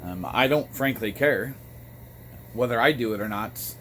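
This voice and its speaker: An American man talking in a casual, rambling monologue, with a halting delivery that often pauses mid-thought. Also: mid-range voice, a bit bright, poor audio. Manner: halting, frequent throat clearing between phrases. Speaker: amateur radio vlogger. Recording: noticeable background noise.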